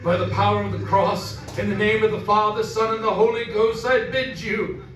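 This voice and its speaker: An actor playing a fearful but devout servant of God, solemn and incantatory in an old-time radio drama style. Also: male voice, high voice, flat delivery, normal speed, a bit bright. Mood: fervent, praying. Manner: solemn, incantatory, archaic phrasing. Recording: echoey distant room, faint noise.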